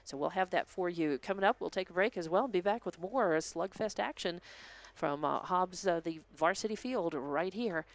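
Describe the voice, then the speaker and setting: An American man giving an unscripted live game recap as a sports commentator, halting and thinking aloud as he goes. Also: sits high, vocal fry.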